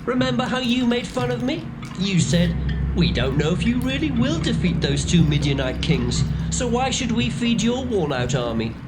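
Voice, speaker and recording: An actor playing Gideon, a stern male Israelite war leader who makes forceful, threatening declarations in a dramatised scripture reading. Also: high voice, very animated, moderate pace, over loud music, rough recording.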